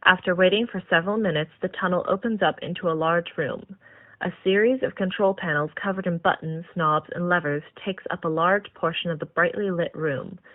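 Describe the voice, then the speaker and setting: A woman's voice: on the low side, fairly quick, phone-quality sound. A woman reading scripted story narration as the narrator of an audio drama.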